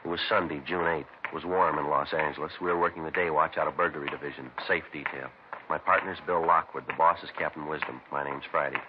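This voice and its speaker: An actor playing a terse, weary police detective sergeant in a 1950s radio crime drama, clipped and matter-of-fact. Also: male voice, extremely low pitch, steady tone, fast. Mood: flat narration. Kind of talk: 1950s radio crime drama acting and first-person narration. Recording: noisy, muffled old radio recording.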